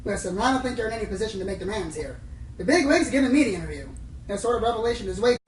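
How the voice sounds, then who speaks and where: A woman's voice: mid-range voice, lively intonation, racing, a little mellow, close to an everyday voice. A woman playing a brash, self-important, condescending pony reporter in a radio play. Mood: dismissive. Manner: cocky, condescending. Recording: roomy distant sound with faint music.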